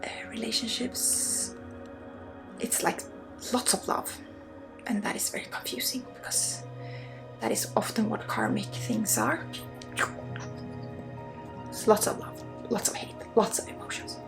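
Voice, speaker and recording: A native Swedish woman guides a spiritual ASMR session with a fairly high, creaky voice. She drifts between soft speech and whispering, blunt and casual with halting asides. Music plays clearly behind her. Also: steady tone. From Sweden. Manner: slips often between soft voice and breathy whisper. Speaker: spiritual healer and ASMR host. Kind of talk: ASMR energy healing, intimate guided talk.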